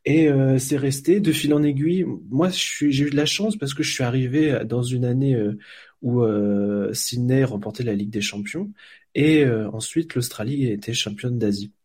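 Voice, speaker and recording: A man's voice: medium pitch, moderate pace, a little mellow, breathy edge. A man from France, a volunteer football blogger, answering interview questions in a hesitant way, with many fillers and restarts.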